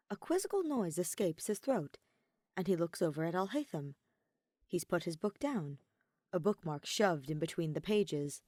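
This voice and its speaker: A woman narrating a written story in an expressive, scripted reading style. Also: fairly low pitch, no room sound, clean studio sound. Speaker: podfic narrator reading fan fiction.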